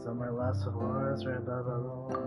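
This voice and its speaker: A game streamer giving casual reaction commentary in a halting way, often repeating words. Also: medium pitch, monotone. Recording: dull lo-fi mic. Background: game music.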